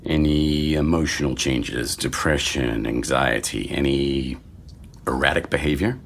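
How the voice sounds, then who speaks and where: A man playing a sardonic doctor in his fifties, deadpan and wry, in a screenplay reading. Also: unhurried, heavily acted. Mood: matter-of-fact. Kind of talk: screenplay table read. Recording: faint low rumbling noise.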